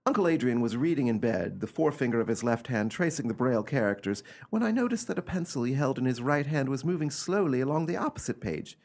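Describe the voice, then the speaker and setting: A man playing an eccentric gentleman who recounts an uncanny family tale in a theatrical, emphatic radio drama style. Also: medium pitch, lively intonation, fast talker, few pauses. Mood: intrigued, ominous.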